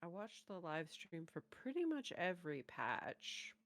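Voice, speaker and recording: A woman's voice: fairly low pitch, big pitch swings, a little slow, no room sound. A woman co-hosting a reaction show with casual, conversational commentary.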